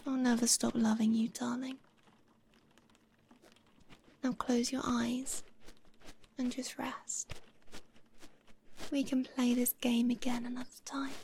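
A young woman whispering softly and breathily into a close microphone in an intimate, doting audio roleplay. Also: a little high, gravelly, breathy edge.

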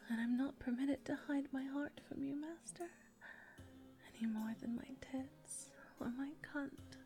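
A woman playing a whispering young captive, high voice. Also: fairly even intonation, soft background music. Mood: devoted. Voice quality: soft breathy whisper. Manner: halting, repeats words when upset. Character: young pregnant captive woman, tearful and devoted. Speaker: audio roleplay performer. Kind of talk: intimate audio roleplay.

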